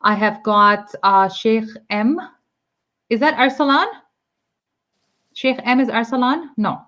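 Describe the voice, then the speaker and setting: A woman's voice: on the high side, fairly even intonation, slow pace, a bit bright. Chatty woman teacher, muffled stream. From Pakistan. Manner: chatty, explains with examples, many ums. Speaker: English teacher hosting live class. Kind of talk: live online English lesson.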